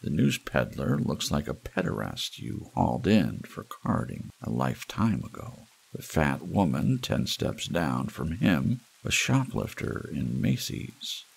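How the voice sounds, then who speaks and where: A man narrating noir fiction as an ASMR audiobook, deliberate and dramatic, with a gravelly, husky voice. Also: a bass voice, sing-song. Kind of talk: scripted second-person noir fiction narration, read as ASMR. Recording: rain sounds behind the voice. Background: rain and wind ambience.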